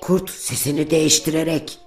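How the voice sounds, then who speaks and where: A woman's voice: sits low, steady tone, normal speed, darkish tone, faint music behind. A woman narrating a scripted children's fairy tale as a storyteller.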